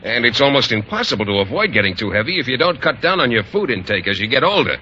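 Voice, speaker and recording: An actor playing an earnest, naive American biology teacher in an old radio sitcom, boyish and a bit hesitant. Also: male voice, medium pitch, very animated, quick pace, pushed and bright. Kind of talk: 1940s-1950s American radio sitcom acting. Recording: muffled old radio broadcast, faint noise.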